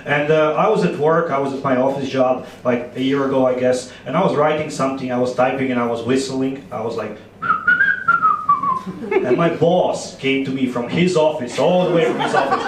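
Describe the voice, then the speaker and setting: A man in his thirties from Croatia with a Croatian accent, doing an animated, playful stand-up set on a club stage with a handheld mic. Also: mid-range voice, distant mic, background noise. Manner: animated, playful storytelling with quick asides. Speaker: stand-up comedian. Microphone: handheld microphone. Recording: echoey club, audience laughter. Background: audience laughter.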